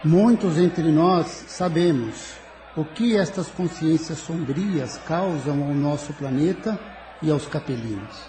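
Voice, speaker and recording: A man acts out a venerable council leader in an audio drama. The voice sits a little high and moves in measured, formal phrases. Clear background noise and ambience sit behind the solemn delivery. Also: mellow. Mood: grave. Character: venerable, solemn male leader presiding over alien council. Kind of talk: spiritist audio drama acting.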